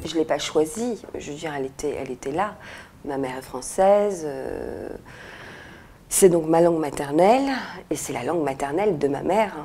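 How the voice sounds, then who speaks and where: A woman in her forties, an author, answering interview questions thoughtfully with frequent pauses and restarts, recorded on a lapel mic in a quiet indoor room. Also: low voice, expressive, slightly rough, faint music behind. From France and Morocco. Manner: thoughtful, many hesitations and restarts. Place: indoor room with red walls, seated in armchair.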